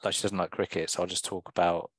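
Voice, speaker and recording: Deadpan man with a very deep, dry voice. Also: quick pace, no echo. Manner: deadpan, self-described boring voice. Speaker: podcast co-host. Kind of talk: casual chat.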